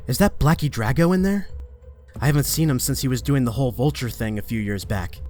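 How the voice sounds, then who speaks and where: A man's voice: mid-range voice, fairly quick, a little mellow, close to an everyday voice. Male actor playing wisecracking young superhero: mid-range voice, earnest and urgent, comic-dub drama over faint music. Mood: wry, focused.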